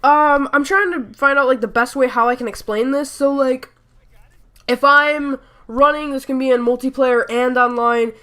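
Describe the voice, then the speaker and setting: A woman's voice: high-pitched, fairly even intonation, normal speed, bright, forceful tone. A young woman with a stuffy nose and sore throat, rambling through a chatty gaming voice-over full of fillers and self-corrections. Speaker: gaming YouTuber. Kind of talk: casual voice-over commentary.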